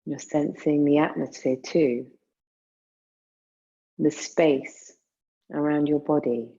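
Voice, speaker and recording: A woman guiding a meditation in a soothing, unhurried manner, leaving long pauses between phrases. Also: low-pitched, fairly even intonation, gravelly, warm tone, husky, highs cut off. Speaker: yoga nidra meditation guide. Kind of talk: guided meditation.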